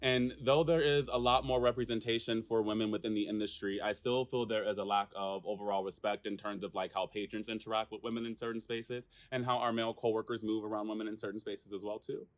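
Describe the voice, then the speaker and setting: A deep-voiced man hosting a relaxed group conversation. His tone is low and frank, and he sounds curious as he asks questions. The sound is close and dry, with no room echo. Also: fast, few pauses. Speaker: podcast host. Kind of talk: casual discussion.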